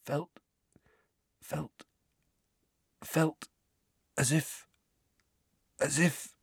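A man voicing a spoiled, shaken teenage boy who mumbles and stammers in a hoarse croak. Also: very high voice, sing-song, a bit bright, dry recording, clean studio sound, voice pushed up, heavily acted. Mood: shaken, dazed. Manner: halting, stammering, trembling. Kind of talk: audiobook character voice.

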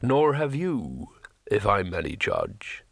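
Man acting a slow, weary, huge sea troll. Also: on the low side, very animated, creaky, a bit bright, no echo, exaggerated voice. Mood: slow, melancholy. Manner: slow, deliberate, heavy delivery.

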